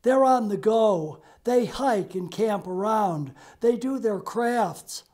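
Eager young grandson read by a man, slow measured verse, mellow voice. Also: high-pitched, lively intonation, voice pushed up. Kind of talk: reading verse aloud.

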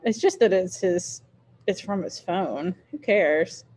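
Slow, mellow-voiced teacher on a video call. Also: female voice, fairly low pitch. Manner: chatty, laughs easily, muses aloud. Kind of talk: casual chat with students on a video call. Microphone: laptop webcam, video call.

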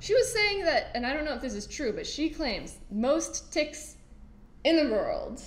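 A young woman with a slightly high voice chats casually as a co-host. Her manner is animated and loose, with lots of filler "like"s. The sound is echoey, as in a big workroom. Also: unhurried, slight background noise. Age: young adult. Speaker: co-host of a mill's video podcast. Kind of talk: casual chat. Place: indoors in a large mill workshop with machinery. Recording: roomy, echoey workshop sound.

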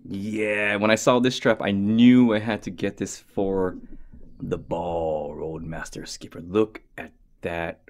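A man doing a goofy, excitable watch strap review at his desk, talking to himself and dropping into whispers. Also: low voice, slow pace. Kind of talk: casual unboxing review. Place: indoors at a desk.

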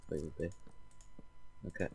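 A man's voice: normal speed, darkish tone. A man chatting casually and swearing freely while playing a block-building game with a friend. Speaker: Minecraft let's play host. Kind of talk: casual gaming chat with a friend.

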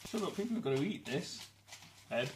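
Brief: young Midlands bloke hosting a home cooking vlog, playful, noisy kitchen recording. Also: male voice, fairly high pitch, sing-song, brisk. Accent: English Midlands. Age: young adult. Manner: playful, bursts into laughter. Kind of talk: casual jokey cooking chat. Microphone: camera mic on the counter.

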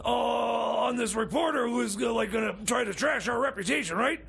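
A man putting on a loud, stammering, raspy voice as a scheming radio host in improvised comedy radio theater. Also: brisk, heavily acted. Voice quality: gravelly, raspy, high strained voice. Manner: stammers constantly, loud and excitable.

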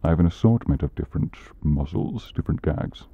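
A man playing a grumpy, exasperated demon who punishes people, curt and gruff, in an ASMR comedy roleplay. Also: very deep voice, animated, a little fast, no room sound, lo-fi sound, exaggerated voice. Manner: gruff, curt, long-suffering.

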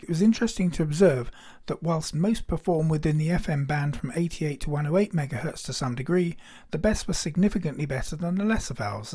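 A man narrates a technical hobby demonstration from a script. His voice sits a little higher than the average man's, and he speaks in the manner of an explainer. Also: dark tone.

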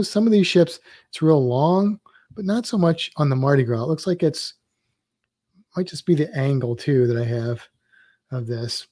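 A man's voice: a little high, mellow, no echo, clean studio sound. A man teaching drawing on a live stream, rambling loosely and thinking aloud while he sketches.

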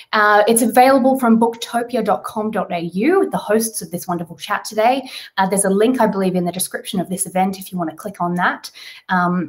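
Chatty woman hosting a video call. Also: medium pitch. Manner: enthusiastic, chatty, laughs often. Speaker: interviewer, host. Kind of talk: casual video-call interview. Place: home room.

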